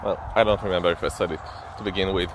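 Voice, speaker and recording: A young Israeli man, a native Hebrew speaker, talking hesitantly into his phone while walking outdoors along a path near a highway, with many fillers and restarts. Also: steady tone, racing. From central Israel. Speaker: vlogger. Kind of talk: casual walking vlog. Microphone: phone held while walking. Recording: loud traffic noise outdoors.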